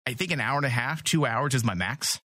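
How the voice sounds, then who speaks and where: A man hosts a casual comedy podcast in a bright, forceful voice, recorded close and dry with faint music underneath. He jokes and mocks himself. His voice sounds strained, and he keeps clearing his throat. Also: medium pitch, brisk, no echo. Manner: joking, self-mocking about his failing throat. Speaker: podcast host.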